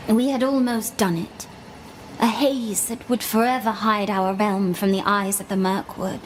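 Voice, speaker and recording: A woman playing a gentle, grieving blind keeper of old magic in a video game, speaking in hushed, solemn, lyrical short phrases. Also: mid-range voice, fairly even intonation, measured pace, slight background noise, lightly acted, low-quality recording. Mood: sorrowful. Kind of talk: video game character acting.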